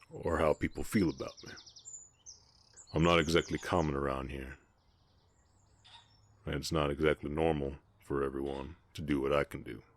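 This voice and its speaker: A man voicing a big, gentle, guarded orc ranch hand with a Southern US accent, speaking politely and haltingly in an intimate Western ASMR roleplay. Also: rumbling low, no room sound. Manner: halting, polite, soft-hearted drawl with folksy turns.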